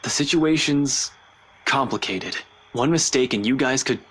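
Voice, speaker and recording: A woman's voice: very deep voice, measured pace, slightly rough, voice pushed down, full character voice, low-quality recording. A woman voicing an evasive, worried young man in a visual novel, speaking in a guarded, pleading way.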